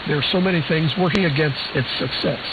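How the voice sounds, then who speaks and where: A man's voice: on the high side, fast. A man presenting a scripted news bulletin in a dry, wry manner, heard over a shortwave radio transmission. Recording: hissy, phone-like shortwave radio reception, heavy static.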